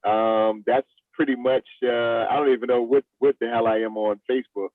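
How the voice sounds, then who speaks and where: A man's voice: mid-range voice, fairly even intonation, normal speed, no room sound. Plainspoken man in his fifties, dull dry recording. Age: 50s. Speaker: veteran actor, interview guest. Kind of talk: casual chat.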